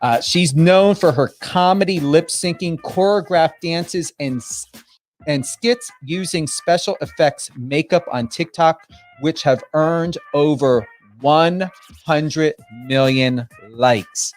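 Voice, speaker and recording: Brash New Jersey radio host, music behind. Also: male voice, on the high side, measured pace. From New Jersey, United States. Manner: emphatic, brash, swears freely, repeats himself. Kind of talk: hyped radio guest introduction.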